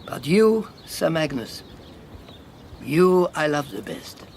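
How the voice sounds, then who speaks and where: A battle-worn, sardonic refugee in a radio drama. He talks very slowly in a fairly high male voice, declaiming in halting phrases with mocking formality. There is faint noise and ambience behind him. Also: sing-song, vocal fry, darkish tone, heavily acted. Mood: wry, teasing.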